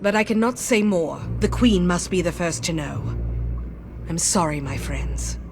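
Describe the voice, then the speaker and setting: An actress playing a solemn, loyal warrior in a video game, speaking in a formal, measured, earnest way. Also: female voice, lowish voice, expressive, over music. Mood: earnest, urgent. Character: solemn, loyal woman warrior sworn to her queen. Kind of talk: dramatic video game cutscene acting.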